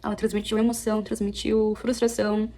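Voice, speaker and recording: A young woman from Brazil, chatting casually at home as she reviews a book, animated and often correcting herself. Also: mid-range voice, a little fast. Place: apartment at home.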